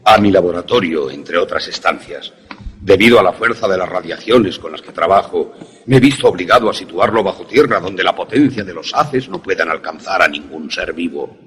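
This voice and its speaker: A man playing a courteous, eccentric scientist in a suspense radio play, formal and deliberate as he explains his invention. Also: deep voice, lively intonation, fairly bright tone, slight background noise.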